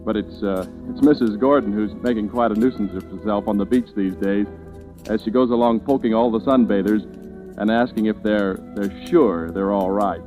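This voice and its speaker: A man's voice: fairly low pitch, normal speed, natural voice. An actor playing a relieved young man narrating his own tale, wry and conversational, in a 1940s radio drama recorded with music.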